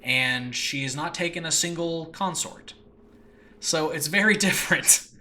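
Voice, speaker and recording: A man hosting a solo podcast, chatting casually and excitedly about his own creative project in an animated, off-the-cuff way. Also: fairly high pitch, measured pace. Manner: enthusiastic, animated, peppered with chuckles and mild swearing. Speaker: podcast host and audio drama creator. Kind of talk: casual solo rambling chat about a show in progress. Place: indoors in a makeshift home space. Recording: faint background noise, makeshift home recording space.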